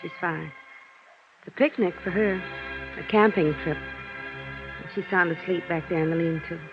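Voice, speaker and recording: A woman's voice: lowish voice, normal speed, close to an everyday voice. An actress playing a plucky, guarded young mother in hiding, plain-spoken and wry, in 1950s radio drama style. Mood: wry, settled. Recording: dull vintage radio recording, faint music.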